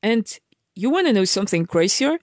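A woman's voice: medium pitch, sing-song, fairly quick, darkish tone, no echo, clean studio sound. A woman from Argentina, talking in a casual, emphatic vlog rant, lecturing her viewers with many restarts and fillers. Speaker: vlogger. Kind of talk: casual ranting monologue.

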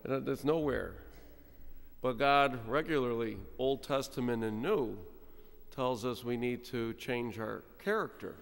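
A middle-aged man, a priest, giving an animated, humorous sermon at a pulpit microphone, with sudden quiet drops. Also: mid-range voice, a little slow, gravelly. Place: large church, speaking at a pulpit. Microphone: stand mic at pulpit. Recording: long church reverb.

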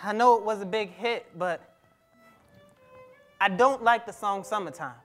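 Young man playing high-voiced, defiant teenage boy. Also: soft background music. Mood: bitter. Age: young adult. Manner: folksy vernacular, halting emphatic delivery. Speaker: actor in a dramatic reading. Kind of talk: staged dramatic reading. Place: theatre-like stage in a conference hall. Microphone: lapel.

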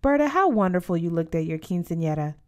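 A woman voicing a proud, loving, middle-aged father in a storybook, gentle, admiring and encouraging. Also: on the low side, very animated, fast, pitched below the performer's own voice.

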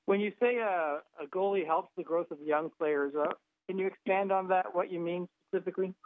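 A man's voice: sits high, a little fast. A man, a sports reporter, asking questions on a press conference call by telephone. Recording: narrow, muffled phone line.

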